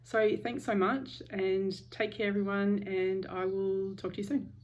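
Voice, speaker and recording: A woman talking to camera at home in a casual personal vlog, calm and candid, with frequent pauses and restarts. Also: medium pitch, highs cut off. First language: English. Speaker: vlogger.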